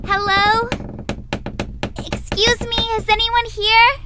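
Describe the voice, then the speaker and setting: A woman's voice: very high voice, level tone, slow, heavy background noise. A woman playing a dreamy, hesitant teenage girl in a visual novel game, musing as she talks. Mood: calling out.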